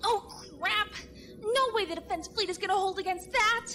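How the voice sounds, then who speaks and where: A woman playing an excitable, irreverent starship crew member in an audio drama, talking casually. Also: very high-pitched, moderate pace, big-room sound, soft background music. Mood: alarmed, excitable.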